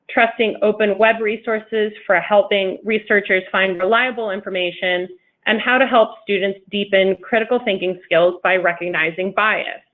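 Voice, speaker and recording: A woman hosting a webinar, giving a polished scripted introduction of the speaker. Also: medium pitch. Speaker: webinar host. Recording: tinny phone-like web call audio.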